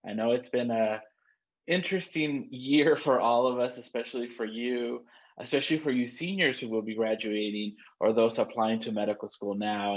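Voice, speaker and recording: Muffled-sounding man hosting online. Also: medium pitch. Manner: supportive, conversational. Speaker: host, student center staff. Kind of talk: informal hosting of a virtual event. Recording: dull, muffled online call audio.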